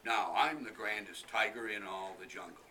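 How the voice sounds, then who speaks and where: A man voicing greedy, boastful tigers in a storybook, loud and showy, recorded from a distance with faint noise. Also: lowish voice, moderate pace, lightly acted. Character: boastful greedy male tigers, big and menacing.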